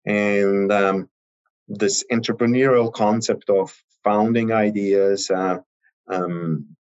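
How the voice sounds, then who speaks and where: A man in his fifties with an Austrian accent, a guest talking in a relaxed, rambling way with many fillers. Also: lowish voice, slow. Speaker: guest, venture partner. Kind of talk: casual interview talk. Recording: dull lo-fi audio.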